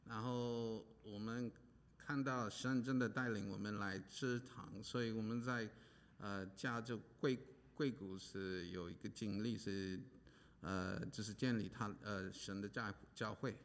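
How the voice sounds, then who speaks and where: A man from Hawaii speaking as a pastor, halting with many pauses and fillers while an interpreter follows him. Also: on the low side, steady tone. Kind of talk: preaching with interpreter. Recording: long hall reverb.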